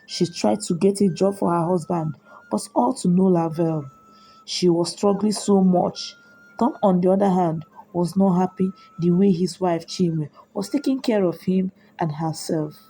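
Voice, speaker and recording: A woman narrating a scripted folktale in a measured, deliberate way. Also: lowish voice, mellow, slightly rough, low-quality recording. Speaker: storyteller. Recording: music behind the voice. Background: background music.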